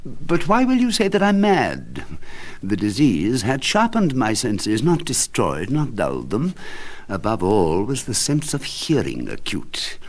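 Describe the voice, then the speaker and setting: A man's voice: medium pitch, wildly swooping pitch, measured pace, full character voice. A man voicing a jittery madman swearing he is sane. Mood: feverish. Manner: lurches between hushed whispers and sharp outbursts. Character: nervous unhinged man insisting he is sane. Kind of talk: dramatic storytelling reading.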